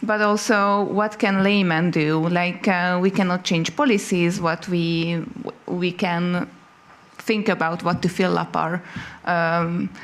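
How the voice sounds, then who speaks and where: A woman's voice: on the low side, steady tone, takes it slowly. A woman moderating a panel, summarizing points and guiding the talk.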